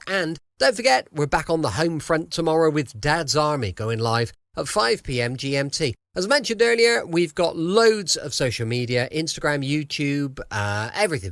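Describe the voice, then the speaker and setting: Animated, casual male podcast host. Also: a little high, moderate pace, hardly any pauses, no echo, studio quality. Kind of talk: casual radio-show presenting. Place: home, close dry recording.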